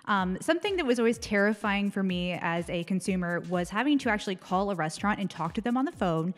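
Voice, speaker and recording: A woman's voice: mid-range voice, animated, fast, in a large hall. A young American woman giving casual, conversational commentary as a podcast segment presenter. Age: young adult. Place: small dark indoor studio. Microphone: close microphone on desk stand.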